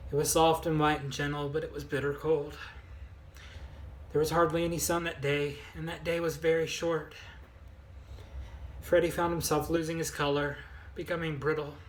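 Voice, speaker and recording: A man narrates a storybook in a fairly high voice with very little melody. The recording has a clear room echo. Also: level tone, moderate pace, a little hiss or hum. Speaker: amateur reader, choir member. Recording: roomy, echoing sound.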